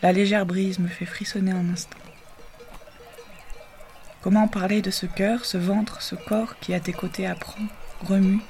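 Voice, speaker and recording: A woman reciting a poetic text in a calm, measured way, sometimes dropping to a hush. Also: medium pitch, creaky. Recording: noisy background.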